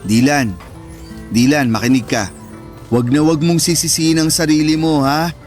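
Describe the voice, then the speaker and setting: Earnest, high-voiced protective older brother played by a man. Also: fairly even intonation, normal speed, a little mellow, faint music behind, lightly acted. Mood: firm, earnest. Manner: earnest, reassuring, emphatic. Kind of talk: radio drama acting in Tagalog.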